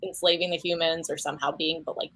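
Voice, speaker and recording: A young woman co-hosting a live book chat, animated and quick to laugh. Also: low voice, low-quality recording. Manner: animated, laughs easily, swings up and down. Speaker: book club co-host. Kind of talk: casual live book discussion.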